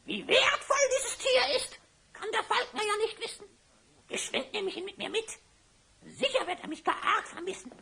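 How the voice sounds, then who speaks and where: A woman's voice: very high voice, animated, normal speed, creaky, pushed and bright, heavily acted. A woman voicing a sly, gleeful hyena spy who schemes theatrically in rhyme for a fantasy audio play. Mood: sly, gloating. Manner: theatrical scheming, frequent cackling, rhyming speech.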